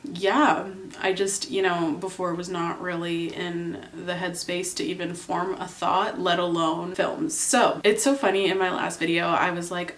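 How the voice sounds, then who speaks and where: A woman's voice: on the low side, fairly bright tone, roomy sound. A young woman from Canada, congested and nasal from a cold, chatting casually while she does her makeup. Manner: chatty, rambling, filler-heavy. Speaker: beauty vlogger.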